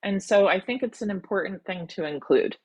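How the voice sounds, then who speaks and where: A woman's voice: mid-range voice, expressive, fairly quick, husky. A woman college admissions counselor teaching an online workshop over a video call, encouraging and full of ums and restarts.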